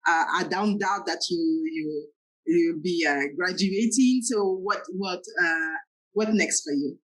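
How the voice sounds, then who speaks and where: A woman from France hosting an interview show, talking in a halting way, often repeating words and giving short agreeing sounds. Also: lowish voice, poor audio. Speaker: show host.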